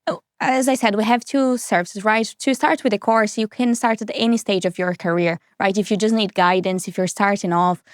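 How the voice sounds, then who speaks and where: Lively woman. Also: medium pitch, brisk, no room sound, studio quality. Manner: animated, laughs often, tag questions. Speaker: podcast guest, recruiter. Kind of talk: casual interview chat.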